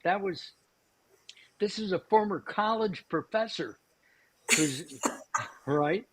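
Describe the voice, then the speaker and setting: A man's voice: sits high, animated, slow, noisy background. A man hosting a cooking show, chatting casually with lots of fillers and restarts. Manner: rambling, many fillers and restarts.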